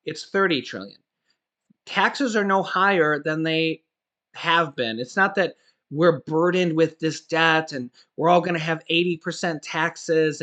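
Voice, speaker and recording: Guy in his 40s talking money news, bright kinda highish voice, keeps going "okay?" and restarting his sentences. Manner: emphatic, repeats "okay?" to drive points home; frequent restarts and self-corrections. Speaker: finance YouTuber. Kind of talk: casual commentary to camera.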